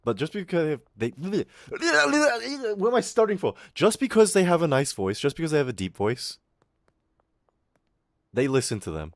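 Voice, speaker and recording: A man's voice: on the high side, sing-song, moderate pace, no echo, studio quality. A young man with an Australian accent that slips toward American, ranting casually on a stream, swearing and mocking people in put-on voices. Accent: Australian with some American.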